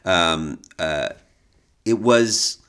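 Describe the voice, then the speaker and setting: Low-voiced man telling stories on a podcast. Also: lively intonation, very slow, a bit bright, clear, resonant tone. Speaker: podcast co-host. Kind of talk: casual conversational storytelling about art history.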